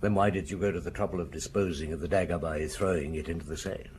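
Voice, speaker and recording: Male actor as senior British police detective, low voice, wry, unhurried, authoritative, radio drama, slight background noise. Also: steady tone, a little mellow, close to an everyday voice. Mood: probing, grave. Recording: noisy radio drama recording.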